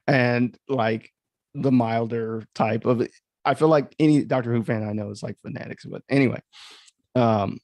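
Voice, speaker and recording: A man's voice: mid-range voice, darkish tone, no room sound, clean studio sound. A man giving casual, rambling livestream commentary as an author and host, full of conversational asides. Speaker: author hosting a livestream of their audio drama.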